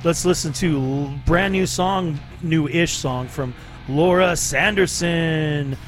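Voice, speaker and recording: Laid-back male radio DJ, slightly high voice. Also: slow, soft background music. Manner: laid-back, rambling with fillers and laughs. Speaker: radio DJ host. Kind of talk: casual radio banter.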